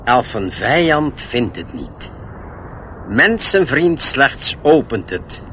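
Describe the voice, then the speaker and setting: An actor playing a secretive, self-important old gnome who talks in riddles in a radio play. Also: male voice, medium pitch, very animated, slow pace, bright, forceful tone, a little hiss or hum, full character voice. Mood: solemn, sly. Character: secretive, wary old bookish male gnome. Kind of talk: radio drama acting.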